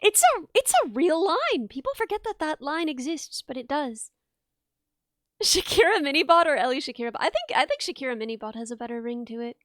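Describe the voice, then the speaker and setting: A young woman streamer chatting casually, hoarse and losing her voice, rambling and giggling at herself. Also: sits high, sing-song, brisk, fairly bright tone, dry recording, studio quality. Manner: rambling, giggly, self-mocking. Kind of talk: casual chat with live chat. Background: music playing.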